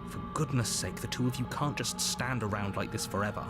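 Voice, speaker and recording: A man voicing a theatrical narrator and inner voices in a visual novel, dramatic, fussy and expressive. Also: lowish voice, a little fast, natural voice. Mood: exasperated. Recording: music under the voice.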